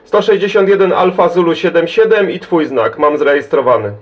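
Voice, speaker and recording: A Polish man working as a CB radio operator, giving call signs and chatting in a brisk, friendly, informal way. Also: a little high, very noisy. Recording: dull, lo-fi CB radio recording.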